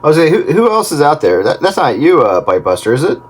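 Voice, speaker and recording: A man hosting a casual radio hobbyist chat over CB, speaking haltingly over a two-way radio link. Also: fairly high pitch, very animated, over loud music. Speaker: host of a radio hobbyist round-up. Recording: very noisy CB radio transmission.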